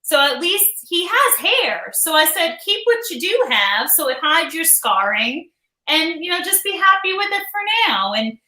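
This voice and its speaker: A woman's voice: extremely high pitch, sing-song, moderate pace, sharp tone, roomy sound, poor audio. A young adult woman co-hosting a casual live-stream chat, teasing and chatty, laughing often. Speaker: co-host.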